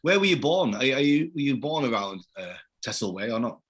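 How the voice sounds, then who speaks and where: A man's voice: mid-range voice, sing-song, a little fast, a bit bright. A man hosting an interview, asking friendly, casual questions. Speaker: interview host.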